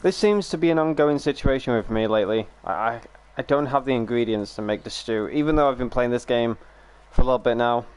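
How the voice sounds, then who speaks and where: A young man talks loosely to his live stream audience, wandering from one gripe to the next. His throat is still healing, so the voice catches and cracks now and then, yet its tone stays smooth and resonant. The pitch sits at an ordinary middle height. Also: fairly quick, few pauses, a little mellow. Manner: rambling, chatty, swears and grumbles. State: recovering sore throat, voice breaking. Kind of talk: casual live stream chat while gaming.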